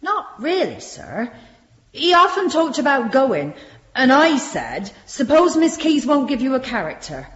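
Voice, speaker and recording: A lively-pitched, meek servant. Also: female voice, unhurried. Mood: deferential. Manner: meek, earnest, deferential. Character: nervous, deferential Victorian servant woman testifying, a cook. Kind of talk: British radio drama acting. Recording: muffled, echoey room recording.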